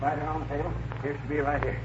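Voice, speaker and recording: An actor playing a bumbling, self-important husband with a blustery manner in a 1940s radio comedy. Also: male voice, fairly high pitch, level tone, quick pace, close to an everyday voice. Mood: blustery, obliging. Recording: dull, noisy 1940s radio recording.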